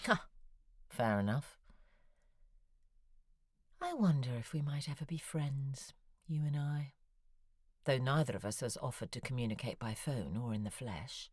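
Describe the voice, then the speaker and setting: An actress playing a wry, self-deprecating middle-aged novelist in an audio drama, articulate and musing, with a husky, breathy voice. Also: female voice, very deep voice, animated, unhurried, pauses often, no room sound, clean studio sound, heavily acted. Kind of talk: audio drama acting, intimate spoken correspondence.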